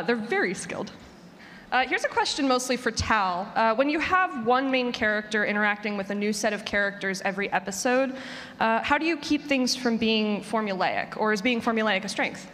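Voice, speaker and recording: A woman's voice: a little high, moderate pace, a bit bright. A woman moderating a live panel and putting questions to the speakers. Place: large room at a convention panel. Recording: echoey hall, clear background noise.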